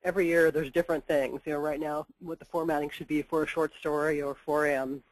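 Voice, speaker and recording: A woman's voice: deep voice, steady tone, brisk, no room sound, poor audio. A woman editor with a head cold, giving practical explanations in a webinar interview and often saying "you know". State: stuffed up with a cold. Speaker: book editor.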